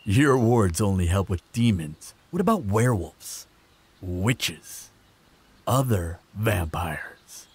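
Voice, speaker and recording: A man playing a proud, protective vampire in a fantasy roleplay audio drama, speaking in measured, sardonic threats. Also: big pitch swings, a little mellow, exaggerated voice. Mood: smug, assertive. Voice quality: low, gravelly, husky. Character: proud protective male vampire, menacing, sardonic, fond of lover.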